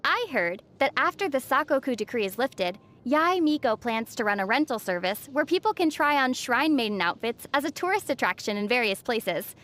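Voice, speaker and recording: A woman playing a gentle, thoughtful young female traveler in video game dialogue, speaking in a measured, soft-spoken way. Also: high-pitched, big pitch swings. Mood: calm, friendly. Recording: faint music under the voice. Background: faint background music.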